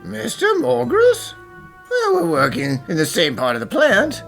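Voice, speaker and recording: A loose-tongued factory worker in an anime fan dub, played by a woman. The voice is creaky and fairly high, sliding all over the scale in a flippant way. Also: huge pitch swings, a little slow, soft background music, exaggerated voice. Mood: offhand.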